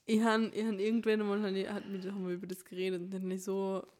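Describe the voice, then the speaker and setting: A young woman co-hosts a podcast, chatting loosely and giggling often. Her talk slides between a regional dialect and standard speech without her trying. Her pitch sits at an ordinary middle height. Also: monotone, quick pace, darkish tone. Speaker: podcast co-host. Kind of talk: casual chat.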